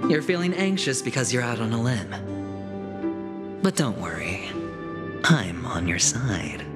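An actor playing a suave, confident young gambler and schemer in a video game, smooth-talking, teasing and insinuating. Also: male voice, medium pitch, expressive, a little slow. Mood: taunting, insinuating. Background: game soundtrack music.

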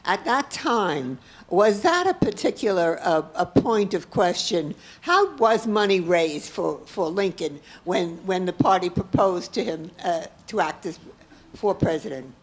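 A woman's voice: lowish voice, sing-song, measured pace. A woman in an auditorium audience putting a spontaneous question to a panel.

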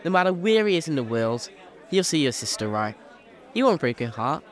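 An actor playing a cheeky, boastful young chimney sweep in an audio drama. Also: male voice, on the high side, sing-song, brisk, some chatter behind.

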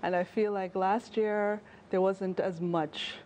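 A woman in her thirties with a Jamaican accent, a travel journalist talking as a guest in a TV studio interview, animated and chatty with fillers and restarts. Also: medium pitch, slow pace, slight background noise. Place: TV news studio. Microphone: lapel mic.

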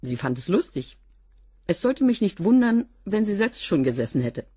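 A woman's voice: medium pitch, sing-song, moderate pace, warm tone, breathy edge, dry recording. An actress playing a curt, unfazed cleaning woman who answers bluntly and laconically in a radio crime drama. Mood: curt, defensive.

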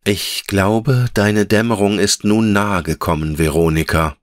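A gentle female elf of the trees from a storybook, acted out by a man. The voice is low and dry, with a measured, solemn tenderness and patient, lyrical phrasing. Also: steady tone, dry recording, close to an everyday voice. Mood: gentle, explaining. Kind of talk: fairy-tale audiobook character.

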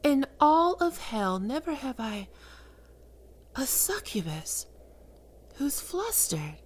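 A woman voicing a seductive, domineering female demon queen of lust in an ASMR roleplay, speaking slowly and sultrily, often in a whisper. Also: high-pitched, very animated, heavily acted. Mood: commanding.

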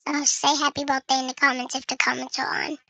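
A very bright, high voice run through a voice changer gives casual game commentary. It is creaky and sounds dry and close, chatting to viewers and thinking aloud while playing. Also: little pitch movement, fast, no echo, low-quality recording. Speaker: gaming video creator.

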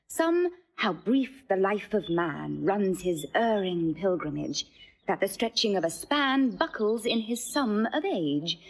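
An actress playing a gentle, playful young noblewoman in Shakespearean drama, measured and declamatory. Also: mid-range voice, breathy edge, exaggerated voice. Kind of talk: Shakespearean verse acting.

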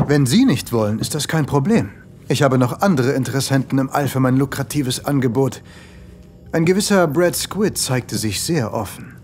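A man playing a manipulative tempter in a comic audio drama, coaxing and persuasive as he makes his pitch. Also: animated, normal speed, a little mellow, lightly acted. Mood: cool, insinuating. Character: smooth-talking, manipulative male tempter and buyer.

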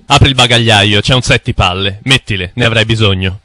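An actor playing a smug, knowing cartoon guide who lectures in a didactic, impatient manner. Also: male voice, on the low side, a little fast, pushed and bright, no room sound. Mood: knowing, brisk. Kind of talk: animated cartoon narration and dialogue.

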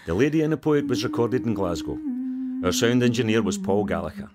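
A man's voice: fairly low pitch, sing-song, fairly quick. A man reading scripted closing credits as an announcer. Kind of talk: scripted narration.

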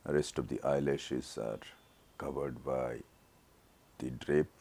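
A man narrating his own eye surgery as a teaching lesson, his voice rough from a throat infection, explaining each step in a measured way. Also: extremely low pitch, steady tone, a little mellow. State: recovering from a throat infection, voice not normal. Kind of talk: slow surgical teaching narration.

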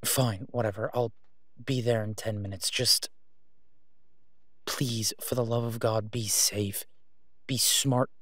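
A man's voice: on the low side, fairly even intonation, takes it slowly, pauses often, a bit bright, no room sound, clean studio sound. A man playing a protective, exasperated young vigilante hero in an audio roleplay, speaking earnestly and urgently. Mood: worried, urging. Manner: earnest, urging.

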